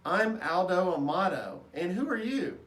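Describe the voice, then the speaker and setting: A man voicing a jovial, chatty male night janitor from a children's storybook, booming and folksy. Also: animated, unhurried, fairly bright tone. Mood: hearty, curious. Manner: hearty, folksy, playful. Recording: roomy echo, distant microphone.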